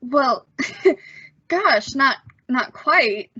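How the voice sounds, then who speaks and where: A woman acting as an earnest, bashful teenage boy in an old-time radio drama, halting and nervous. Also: high-pitched, expressive, highs cut off, noisy background, heavily acted. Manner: halting, nervous stammer with sheepish delivery.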